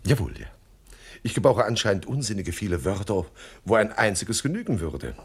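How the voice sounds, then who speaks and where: An actor playing a suave, wordy swindler boss with mock-courteous manners in a radio crime comedy. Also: male voice, lowish voice, huge pitch swings, normal speed, vocal fry, slight background noise, full character voice. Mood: smugly persuasive. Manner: verbose, mock-courteous, hesitant filler words. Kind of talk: 1970s German radio crime comedy acting.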